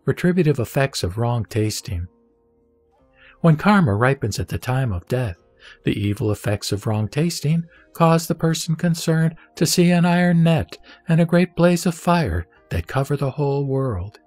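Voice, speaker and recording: A man reciting a religious text as solemn, dramatic scripted narration, with measured, deliberate and emphatic phrasing. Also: on the high side, big pitch swings, darkish tone, no room sound. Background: background music.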